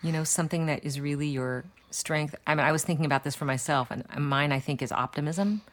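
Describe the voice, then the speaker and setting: A woman host with a low voice leads a relaxed conversation. She asks questions and keeps up a steady flow of affirming murmurs as the others talk. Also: fast. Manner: warm listener, frequent affirming murmurs. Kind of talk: casual panel conversation.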